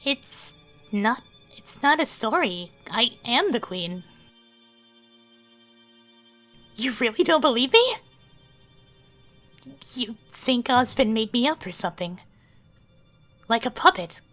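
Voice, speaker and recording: A young woman acting an earnest, friendly and informal young queen in an expressive fan dub. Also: fairly high pitch, a little slow, pauses often, faint music behind. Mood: hurt, defensive.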